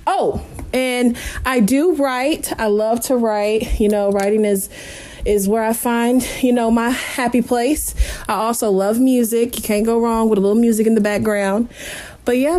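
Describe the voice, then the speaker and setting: A woman's voice: fairly high pitch, fairly even intonation, moderate pace, dark tone. A young woman from Kentucky, co-hosting a casual podcast in a laid-back, playful way. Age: young adult. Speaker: podcast co-host. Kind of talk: casual chat.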